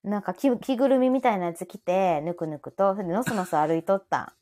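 A woman's voice: medium pitch, brisk, dark tone. A woman in her forties with a Kansai accent, chatting casually and playfully as host of a live audio show, often giggly. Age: 40s. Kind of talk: casual chat.

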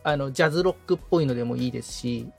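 A man's voice: medium pitch, rapid-fire, gravelly, slightly rough, faint music behind. A man in the assistant's seat on a podcast, explaining his interests casually and enthusiastically, thinking aloud as he goes. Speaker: podcast assistant, guitarist being coached.

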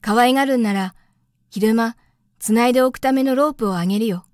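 A woman's voice: fairly high pitch, fairly quick, darkish tone, no echo, close to an everyday voice. Lady playing a stranded pilot guy from a storybook, earnest, explains things plainly, close dry audio. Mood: helpful. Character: stranded male pilot, earnest and easily irked.

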